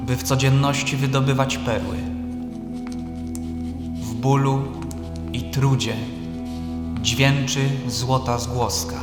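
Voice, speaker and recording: A solemn male poet, played by an actor, recites reflective verse for a radio play. He speaks slowly in a long, echoing reverb, with loud music behind him. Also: mid-range voice, little pitch movement, close to an everyday voice. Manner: measured, reflective, poetic recitation. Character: solemn male poet-narrator writing verse among mythic deities. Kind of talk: audio drama verse narration.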